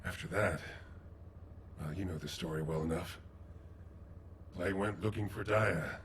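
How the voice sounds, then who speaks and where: Deep-voiced, remorseful veteran soldier. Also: male voice, noisy background. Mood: remorseful, grave. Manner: grave, measured, confessional. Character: remorseful veteran soldier and military professor, solemn older man. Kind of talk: video game voice acting.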